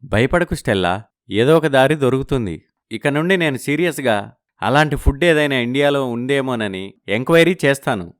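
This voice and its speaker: A man playing a worried, earnest businessman husband in an animated story. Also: medium pitch, normal speed, close to an everyday voice. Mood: reassuring. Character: worried young businessman husband living abroad.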